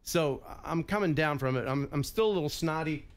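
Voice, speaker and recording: A man's voice: fairly high pitch, a little fast. A man in his fifties with a head cold, hosting a casual live stream with upbeat emcee announcements and jokes. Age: 50s. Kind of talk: casual live show chat.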